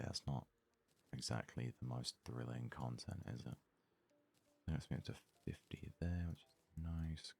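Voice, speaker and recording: A man giving soft-spoken ASMR gaming commentary, murmuring and thinking aloud in an unhurried way close to the microphone. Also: a bass voice, creaky, slightly rough. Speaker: let's play host.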